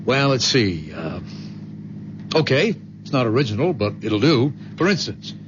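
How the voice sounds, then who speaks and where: An actor playing a sardonic, impatient young husband in a radio drama, wry and brusque. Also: male voice, medium pitch, very animated, unhurried. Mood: wry, reasoning. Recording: muffled old radio recording, faint hiss.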